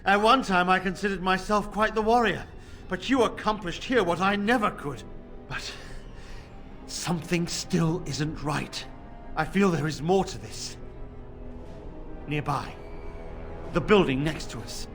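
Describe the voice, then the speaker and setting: An actor playing a grave, pious templar in a fantasy video game, speaking formally and solemnly. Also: male voice, high voice, measured pace, long pauses, a bit bright, soft background music. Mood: solemn, wary. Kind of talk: video game drama acting.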